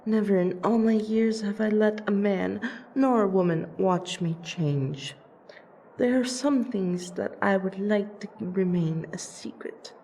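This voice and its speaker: A woman voicing a proud, protective female vampire princess in an audio roleplay, with wry, deliberate, theatrical phrasing. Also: mid-range voice, mellow, a little hiss or hum, lightly acted, low-quality recording. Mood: flustered, embarrassed. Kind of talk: ASMR audio roleplay acting.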